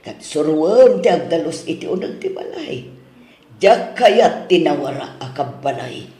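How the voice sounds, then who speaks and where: A man playing a fussy, nagging older mother-in-law in an Ilocano radio drama, with an Ilocano accent and drawn-out, chiding delivery. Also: a little high, very animated, dark tone. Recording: roomy, echoing studio drama sound.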